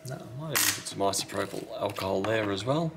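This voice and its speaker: A man's voice: fairly low pitch, animated, quick pace, very noisy. A man giving casual hands-on repair commentary as a technician, thinking aloud tentatively and correcting himself as he works. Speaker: repair technician, video host. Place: indoors at a repair workbench.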